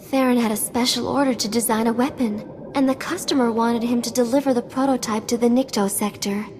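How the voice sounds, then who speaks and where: A woman's voice: fairly high pitch, steady tone, fairly quick, a little mellow, natural voice. A woman playing a flirty, sensitive hologram receptionist in a video game, chatty and gushing with a sassy edge. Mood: sassy, hurt. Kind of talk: cinematic video game dialogue. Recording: hall reverb, faint music behind.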